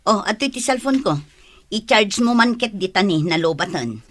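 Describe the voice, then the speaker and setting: A woman's voice: medium pitch, lively intonation, normal speed, a bit bright. A woman playing a practical, middle-aged family woman who scolds and gives orders. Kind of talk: radio drama acting.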